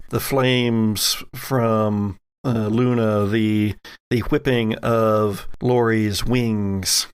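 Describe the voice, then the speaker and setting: A man running a tabletop role-playing game as host, narrating deliberately and dramatically in short phrases. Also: mid-range voice, gravelly, no echo, clean studio sound. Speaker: game master and podcast host.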